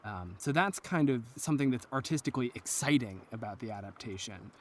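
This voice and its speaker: Guy explaining his creative work in an interview, average pitch, earnest and articulate. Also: expressive, fairly quick, a little hiss or hum. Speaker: audio drama creator, interview guest. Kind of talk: thoughtful interview talk.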